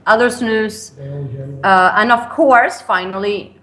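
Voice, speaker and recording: A young woman from Sweden, raised in France, speaking as a guest in an interview over a call, with many pauses, ums and restarts. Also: on the high side, fairly bright tone. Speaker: guest, cigar company founder.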